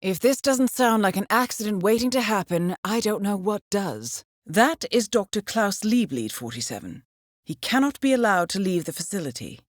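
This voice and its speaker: A woman acting as a cool intelligence handler briefing an assassin in a spy game. Her voice moves with expressive, varied intonation while staying composed and clipped, with wry understatement. The sound is close and dry. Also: medium pitch, normal speed, no echo, natural voice. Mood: cool, urgent. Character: poised, dry-witted female intelligence handler guiding an assassin. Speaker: mission handler. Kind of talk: scripted game briefing dialogue.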